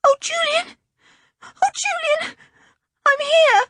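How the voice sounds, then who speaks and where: Brief: woman voicing a small frightened girl, tearful and wailing, very high. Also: steady tone, takes it slowly, bright, forceful tone, no echo, studio quality, full character voice. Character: terrified little girl stuck up a tree.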